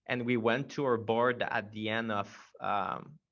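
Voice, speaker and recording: A man's voice: fairly low pitch, steady tone, measured pace, lo-fi sound. A man from Quebec with a strong Quebec French accent, a startup founder telling his story in a casual interview, rambling with many fillers and restarts.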